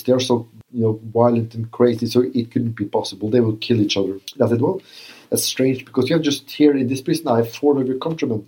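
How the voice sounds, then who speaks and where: A middle-aged man talks earnestly in an interview. His voice is mellow and dark, and his pitch sits in the middle. He restarts his phrases often and fills the gaps with "uh". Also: poor audio. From Norway. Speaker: former prison governor. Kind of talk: interview answers.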